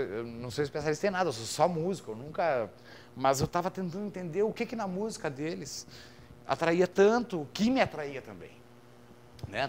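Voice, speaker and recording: A man in his thirties with a gaúcho Brazilian accent, a musician guest chatting on a podcast in a relaxed, rambling way, full of casual fillers. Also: on the high side, slight background noise. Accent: gaúcho Brazilian Portuguese. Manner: relaxed, rambling, with frequent fillers like "cara" and "saca". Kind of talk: casual conversational storytelling.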